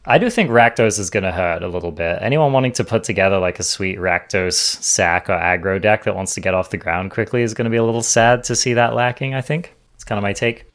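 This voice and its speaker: Guy hosting a card game show, slightly low voice, chatty, explains stuff. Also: quick pace, few pauses, a bit bright. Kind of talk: casual chat and game commentary.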